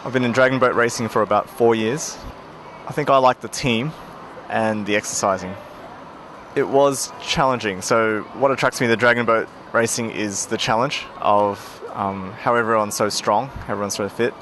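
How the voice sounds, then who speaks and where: A man's voice: fairly low pitch, steady tone, normal speed, fairly bright tone. A man giving short, plain answers in a casual outdoor interview. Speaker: interviewee, dragon boat paddler. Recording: outdoor interview with traffic noise.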